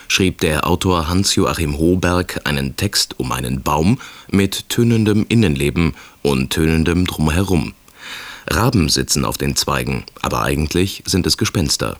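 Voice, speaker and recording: A man's voice: rumbling low, dry recording. A man announcing a radio play in scripted, formal narration.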